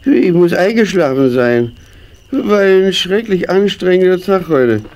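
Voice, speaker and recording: An actor playing a muddled, sheepish husband in an audio drama, groggy and stammering. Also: male voice, high-pitched, lively intonation, measured pace, darkish tone. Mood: groggy, dismayed.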